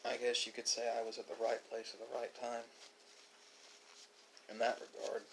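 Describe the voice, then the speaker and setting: A man with a mid-pitched voice delivers a reflective monologue in the style of a video host. He speaks haltingly, leaving drawn-out pauses between phrases, with faint noise and clicks behind him. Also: monotone, fairly bright tone, rough recording. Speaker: host of an ASMR mukbang channel. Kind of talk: musing philosophical monologue.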